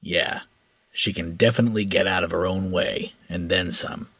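A man doing a deep, wry starship captain. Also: normal speed, breathy edge, no room sound, exaggerated voice. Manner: calm, dry, authoritative.

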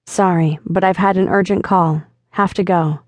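A woman narrator voicing a flirtatious, self-assured man in an audiobook, with smooth, teasing delivery. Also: fairly low pitch, steady tone, moderate pace, no room sound, natural voice. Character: flirtatious confident man chatting online. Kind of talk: audiobook dialogue reading.

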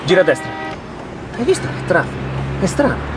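Quick, high-voiced teenage son. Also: male voice, big pitch swings. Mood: dismissive. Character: dismissive, curious teenage son riding in a car. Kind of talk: audio drama acting. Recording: loud car and traffic noise.

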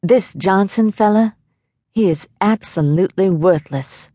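A woman's voice: medium pitch, a little slow, mellow, no room sound, studio quality, close to an everyday voice. A woman voicing a folksy, politely blunt male henchman with cool menace in an audiobook thriller. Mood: coldly deferential.

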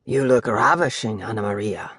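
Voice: medium pitch, normal speed, fairly bright tone, slightly rough, dry recording, clean studio sound, voice pushed down. Rudolph, a growling lustful king voiced by a narrator. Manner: growling, commanding. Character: lustful, imperious middle-aged king. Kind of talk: audiobook character voice.

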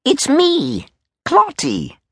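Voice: high voice, huge pitch swings, extremely slow, vocal fry, fairly bright tone, dry recording, studio quality, pitched above the performer's own voice, full character voice. A performer voicing a meek, simple-minded soldier in a storybook, coy and obsequious, with muddled fussy phrasing. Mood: timid reassurance.

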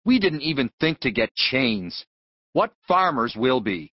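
A man's voice: mid-range voice, sing-song, measured pace, no echo. A man playing a tense, short-tempered father who speaks curtly and fiercely in a full-cast children's audiobook. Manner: curt, fierce. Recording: dull, narrow lo-fi audio.

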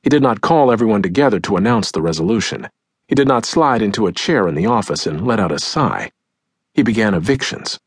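A man narrating a nonfiction audiobook in a measured, deliberate, dramatic way, with a husky voice, recorded close in a dry studio. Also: fairly low pitch, expressive, no room sound. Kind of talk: scripted nonfiction audiobook narration, acting out quotes.

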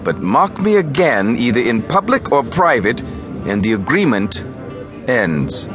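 An actor playing a languid, haughty young aristocrat in his twenties in an audio drama, condescending, fastidious and world-weary. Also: medium pitch, sing-song, over music. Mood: cool warning. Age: 20s. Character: languid, haughty young aristocratic caballero, foppish and easily bored.

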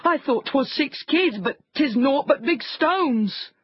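A woman voicing a greedy, grumbling male wolf villain in a fairy tale, with slow, drawn-out complaining. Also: sits high, animated, no echo, highs cut off, pitched above the performer's own voice. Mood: groaning, puzzled.

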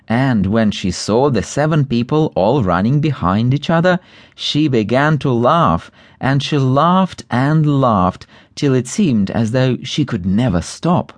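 Male audiobook narrator for fairy tales, lively intonation, dry studio sound. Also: medium pitch, no room sound. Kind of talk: scripted fairy tale narration.

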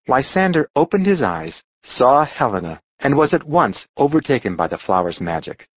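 A man narrating a scripted storybook audiobook with dramatic, expressive delivery. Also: medium pitch, moderate pace, husky, no echo, dull audio.

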